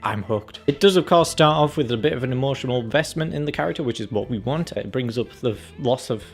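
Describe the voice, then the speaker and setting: Male review host, mid-pitched with vocal fry, casual and chatty, dramatizes reactions, music underneath. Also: fast talker. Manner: chatty, acts out reactions and quotes. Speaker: film and game review channel host. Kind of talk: casual trailer review commentary.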